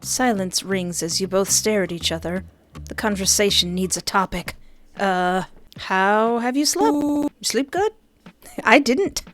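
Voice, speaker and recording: A woman hosting a game playthrough, reading narration aloud and reacting casually in a playful, expressive way. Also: mid-range voice, unhurried.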